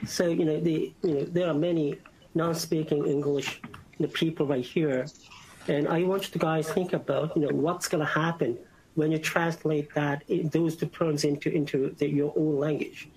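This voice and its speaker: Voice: mid-range voice, steady tone, normal speed, darkish tone, breathy edge, rough recording. A board member with a Korean accent, a native Korean speaker, arguing earnestly over a video call and often saying "you know". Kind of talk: formal remote meeting discussion.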